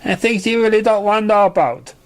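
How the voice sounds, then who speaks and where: A man's voice: high-pitched, quick pace, voice pushed up, natural voice. A man voicing an anxious, apologetic young girl pony in a story reading. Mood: confessing, sheepish.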